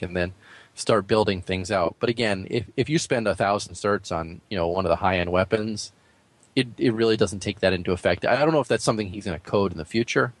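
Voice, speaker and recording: A man's voice: on the low side, dry recording. A man co-hosting a podcast, discussing gaming casually in a polite, considered way. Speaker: podcast co-host.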